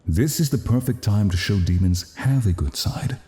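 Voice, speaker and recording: Low, mellow demon prince, acted by a man. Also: animated, normal speed, lightly acted. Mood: reassuring. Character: courteous, earnest demon crown prince in human guise. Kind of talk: audio drama acting.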